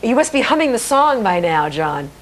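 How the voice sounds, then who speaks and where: A woman with a fairly high voice anchors the news in a light, bantering style. The 1990s broadcast tape carries a faint noise. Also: big pitch swings, moderate pace.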